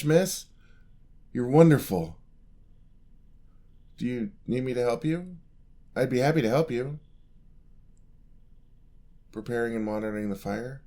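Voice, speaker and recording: Man playing a sweet, slow-spoken young paladin. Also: mid-range voice, close to an everyday voice. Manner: polite, earnest, gently encouraging. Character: sweet, clumsy, eager young male paladin apprentice. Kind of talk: fantasy ASMR roleplay, speaking to the listener.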